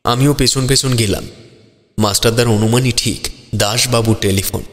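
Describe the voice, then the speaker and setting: A man's voice: mid-range voice, fairly even intonation, normal speed, natural voice. A man narrating a scripted detective audio story in the role of the detective's companion. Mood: measured storytelling. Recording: long hall-like reverb. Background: music.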